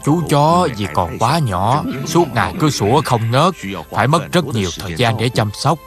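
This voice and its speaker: Older male monk master acted by a man, kindly, patient, gentle storyteller, cartoon dub, music behind. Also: medium pitch, very animated, normal speed, lightly acted. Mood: patient, teaching. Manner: gentle, explanatory.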